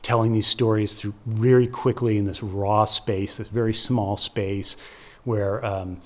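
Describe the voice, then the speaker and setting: A man's voice: fairly low pitch, steady tone, a little slow. A man with an American accent giving conversational, explanatory interview answers as a theater producer, stressing points with short repeated phrases. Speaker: theater festival producer and curator, interview guest.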